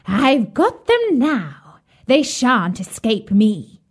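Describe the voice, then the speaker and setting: Fairy-tale witch, performed by a female reader: old, jeering, theatrical, with big swoops in pitch and stretched-out words. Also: huge pitch swings, a little mellow, heavily acted. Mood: gloating. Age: elderly. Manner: theatrical, drawn-out, scolding delivery. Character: wicked old witch, jeering, bossy, falsely sweet. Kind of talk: fairy-tale storybook character voice.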